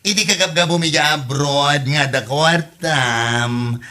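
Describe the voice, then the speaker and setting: An actor voicing a loud, nosy, flamboyant gossiping neighbour in an Ilocano comedy drama, with an Ilocano accent and theatrical, taunting exclamations. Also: medium pitch, slow.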